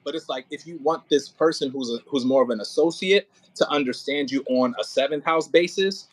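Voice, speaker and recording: A man coaching an actor casually as an audio play director, thinking aloud in halting, repetitive phrases and sounding encouraging. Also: a little high, no room sound, rough recording.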